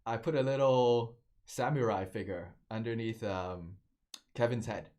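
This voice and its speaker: A man's voice: medium pitch, unhurried, darkish tone. A man co-hosting a podcast in casual chat, easygoing, laughing often and asking short questions. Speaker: podcast co-host.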